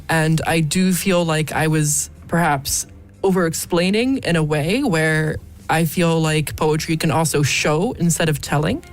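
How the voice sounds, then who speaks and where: A woman in her thirties from the United States with an American accent, a poet speaking as a radio interview guest, thoughtful and hesitant with many ums. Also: on the low side. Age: 30s. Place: radio studio. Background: faint background music.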